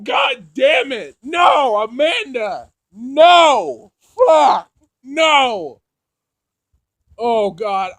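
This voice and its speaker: A man playing through a visual novel, reading it aloud in an emotional, halting way with dramatic outbursts. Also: very high voice, fairly bright tone, dry recording. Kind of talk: let's play narration with loud reactions.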